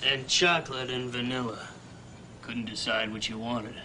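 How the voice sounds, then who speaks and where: An actor playing a terse, laconic hard-boiled private detective in a spoof drama. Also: male voice, mid-range voice, steady tone, moderate pace, background noise, lightly acted. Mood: cool, steady.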